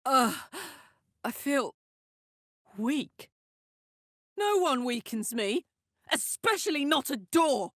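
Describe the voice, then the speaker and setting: Blunt, unhurried devilish warrior woman. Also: sits high, big pitch swings, fairly bright tone, dry recording, studio quality, exaggerated voice. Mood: strained, frustrated. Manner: blunt, earthy, sweary. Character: tough, big-hearted female devil-touched warrior escaped from hell. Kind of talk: video game character voice acting.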